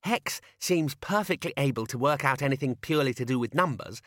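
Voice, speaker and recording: A man's voice: medium pitch, animated, fairly quick, a bit bright, no room sound, clean studio sound, voice pushed up, natural voice. A man playing a fussy, weary young wizard scholar. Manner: precise, weary, correcting.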